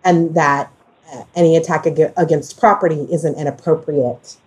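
A woman's voice: sits low, steady tone, normal speed, darkish tone, low-quality recording. A woman hosting a casual conversational discussion, speaking emphatically and repeating phrases for stress. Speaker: podcast host.

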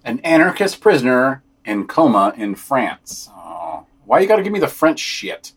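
A man with a mid-pitched, somewhat husky voice reads written summaries and quotations aloud as a podcast presenter. He adds brief commentary of his own as he goes. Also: sing-song, normal speed. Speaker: podcast news reader.

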